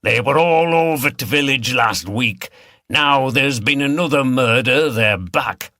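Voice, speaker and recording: A gruff old hill farmer from a storybook, as a male narrator puts him on. His Yorkshire speech is broad, plain and grumbling. The tone is bright and forceful, with a very dry, close sound. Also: mid-range voice, a little slow, dry recording, voice pushed up. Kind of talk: audiobook character dialogue.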